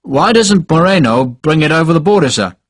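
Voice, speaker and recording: A man's voice: a little high, animated, a little fast, dry recording, pitched above the performer's own voice. A man voicing a blunt rifleman officer who answers tersely with dry sarcasm. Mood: questioning. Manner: terse, dry, quietly sardonic. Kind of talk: audiobook character voice.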